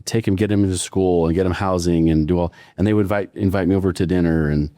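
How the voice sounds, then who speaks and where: A man hosts a podcast in a casual, enthusiastic way, often repeating himself. His voice is very deep and warm. He sounds close-miked in a dry room. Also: fairly even intonation, fast, no echo. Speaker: podcast host. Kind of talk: conversational podcast interview.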